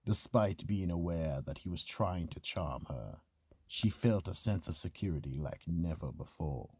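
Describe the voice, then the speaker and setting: A man hosting and narrating a radio mystery with solemn, ominous, husky storytelling. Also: low-pitched, expressive, measured pace, a little mellow, dry recording, studio quality. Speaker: narrator and host.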